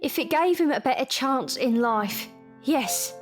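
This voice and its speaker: Woman playing a high-pitched, earnest working girl. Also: expressive, unhurried, music in the background. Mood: resigned, resolute. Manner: earnest, plain-spoken. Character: weary, determined, kind young working-class London woman. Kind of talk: audio drama acting.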